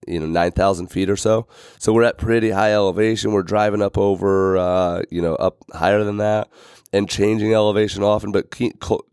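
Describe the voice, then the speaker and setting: Male podcast host, thirties, slightly raspy, chatty and rambling, dry close mic. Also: on the low side, a little mellow, no echo. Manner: rambling, many fillers and restarts. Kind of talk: casual podcast monologue.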